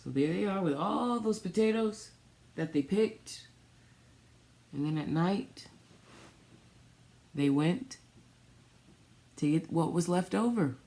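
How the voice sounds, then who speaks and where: A woman reading a picture book aloud for children, pausing and adding little asides about the pictures. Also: lowish voice, lively intonation, creaky, mellow. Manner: pausing, pointing out pictures to young listeners. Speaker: storytime reader for a children's theatre.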